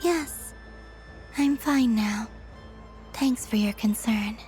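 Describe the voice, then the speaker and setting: A woman voicing a gentle, serene young goddess in a video game, soft and innocently curious. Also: a little high, slow pace, soft background music.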